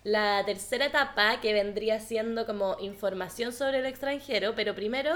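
A woman's voice: a little high, normal speed, a bit bright. A Chilean woman hosting a podcast in a casual, chatty style. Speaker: podcast host. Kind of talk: casual chat.

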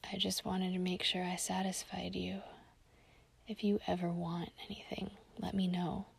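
A woman voicing a shy, devoted young man in a breathy, hesitant whisper. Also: fairly low pitch, completely flat delivery, normal speed. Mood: tender. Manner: soft, hesitant.

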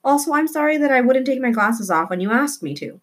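Woman voicing a precocious boy, pitched up. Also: expressive, brisk, fairly bright tone. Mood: eager, formal. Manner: formal, grandiose wording. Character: precocious, wordy, formal young boy, dramatic and earnest.

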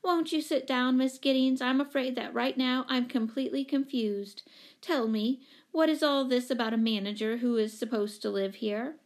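A woman's voice: high voice, steady tone, normal speed, voice pushed up. A woman playing a weary, bewildered mother in a story, polite but firm and plain-spoken. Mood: confused, firm.

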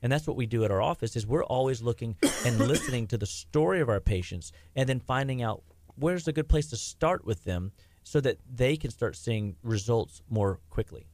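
A man's voice: medium pitch, expressive, normal speed, darkish tone, no room sound. A middle-aged man, a health practitioner, talking in a casual rambling way with lots of restarts and fillers. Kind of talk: casual podcast chat.

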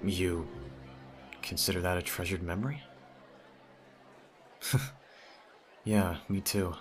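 Man acting a low-voiced teenage boy best friend. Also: slow pace, faint music behind, a faint crowd. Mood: playful, fond. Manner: conversational, addresses the listener directly, teasing. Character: teenage male childhood friend, teasing, smitten, quietly possessive. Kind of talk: ASMR audio roleplay acting.

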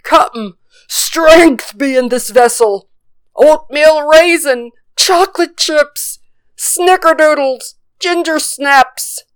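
A woman's voice: sits high, slow pace, no room sound, pitched above the performer's own voice, rough recording. A woman voicing a timid male pirate crewman in a storybook tale, earnest and pleading, with old-time sailor talk. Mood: awed reverence.

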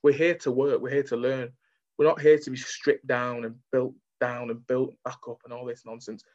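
Casting: young Mancunian male, candid interview guest, conversational delivery, mid-pitched voice on muffled lo-fi audio. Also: flat delivery, normal speed, a little mellow. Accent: Manchester. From Manchester, England.

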